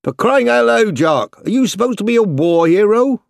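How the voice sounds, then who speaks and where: A man's voice: high-pitched, animated, moderate pace, gravelly, no room sound, clean studio sound, pitched above the performer's own voice. A man voicing cheery young British soldiers bantering and joking in a storybook. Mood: teasing. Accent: British English. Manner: chirpy, joking. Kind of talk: storybook character voice.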